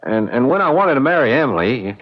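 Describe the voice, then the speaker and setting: Actor as stammering young country ex-soldier, lively pitch. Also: male voice, a little fast, natural voice. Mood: rambling. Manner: hesitant, stammering, rambling and defensive. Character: nervous young rural ex-soldier accused of murder. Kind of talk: old-time radio drama acting. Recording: dull lo-fi radio drama recording.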